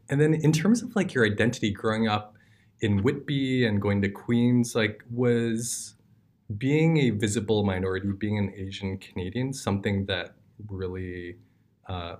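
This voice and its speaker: Low-voiced male interviewer, attentive. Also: a little mellow. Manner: attentive, brief affirmations, long framed questions. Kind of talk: casual interview.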